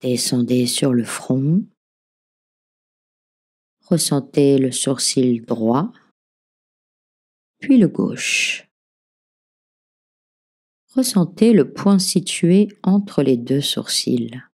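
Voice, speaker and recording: A woman leading a guided relaxation as a meditation teacher, giving gentle, soothing, measured instructions. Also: rumbling low, lively intonation, slightly rough. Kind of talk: guided yoga nidra relaxation narration.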